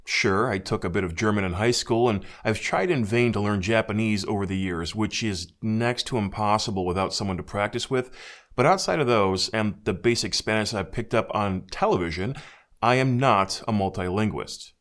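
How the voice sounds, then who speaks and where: An American man narrating a scripted hi-fi speaker review in a measured, dryly self-deprecating way. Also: lowish voice, fairly bright tone. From United States. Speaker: audio reviewer.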